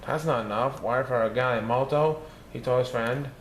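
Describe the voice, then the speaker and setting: A man reading a children's picture book aloud, lifting his voice high for the characters' lines. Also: normal speed. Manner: lively, raising his pitch for characters' lines. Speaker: storybook reader. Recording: echoey room with faint background noise.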